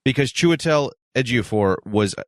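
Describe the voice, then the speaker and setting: A man's voice: mid-range voice, animated, normal speed, no room sound, clean studio sound. A man on a talk show panel, explaining things and giving his opinions in casual discussion.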